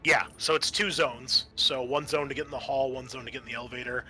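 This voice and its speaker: A man acting as game master, improvising halting narration for a tabletop roleplaying game. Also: medium pitch, fairly even intonation, sharp tone, soft background music.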